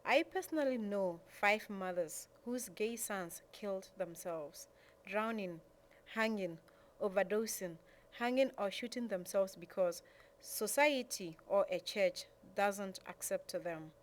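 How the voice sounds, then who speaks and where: A woman's voice: mid-range voice, measured pace. A woman reading a prepared statement aloud. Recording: clear background noise and room ambience.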